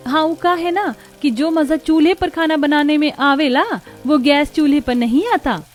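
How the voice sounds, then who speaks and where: Young rustic daughter-in-law, woman performer: high-pitched, eager, humble and respectful, for a village cartoon. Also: steady tone, moderate pace, soft background music, close to an everyday voice. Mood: eager, cheerful.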